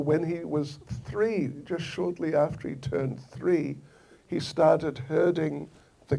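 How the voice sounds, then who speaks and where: An older man from New Zealand giving a devotional scripture lecture, telling the story gently and slowly with soft laughs and repeated phrases. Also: a little high, gravelly, breathy edge.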